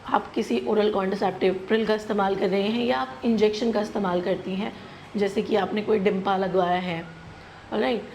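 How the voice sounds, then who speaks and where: An Indian woman speaks as a doctor hosting a health explainer. Her voice sits at an average pitch, and she addresses the listener directly in an instructive way, mixing in English terms. A faint noise runs behind her. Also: steady tone, a little fast, a little mellow. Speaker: gynecologist presenting a health channel. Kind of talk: explanatory medical advice to camera.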